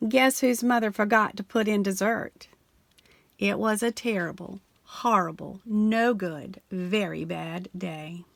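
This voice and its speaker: A woman reads a children's storybook aloud with lively, expressive storytelling. Her voice has a gravelly edge and sits in a middle pitch, and on the repeated refrain she slows down and sinks lower. The sound is dry and close, with no room around it. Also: no room sound. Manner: animated storytelling, slowing and dropping low on the refrain. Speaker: storybook reader. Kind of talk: expressive read-aloud of a children's picture book.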